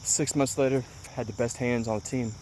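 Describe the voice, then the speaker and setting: A man's voice: medium pitch, steady tone, brisk, darkish tone, slight background noise. A man in his late twenties giving an earnest motivational talk outdoors, with lots of ums and repeated words for emphasis. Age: 20s. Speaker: motivational vlogger.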